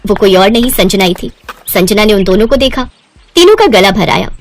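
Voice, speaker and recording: A woman's voice: mid-range voice, lively intonation, brisk, slight background noise. A woman storyteller narrating a scripted moral story.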